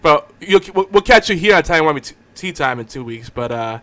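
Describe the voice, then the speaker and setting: A man's voice: a little high, expressive, fairly quick, pushed and bright, no echo. A middle-aged man hosting a podcast, chatting casually with a joking, bantering manner. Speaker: podcast host. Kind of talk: casual chat.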